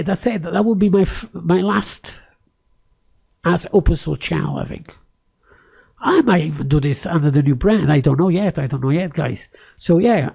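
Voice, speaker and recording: A man's voice: on the high side, vocal fry, a little mellow, husky. A man who is not a native English speaker, rambling and ranting in a casual tech screen-recording commentary, close to the microphone, with many fillers and restarts. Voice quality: close-miked. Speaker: tech YouTuber.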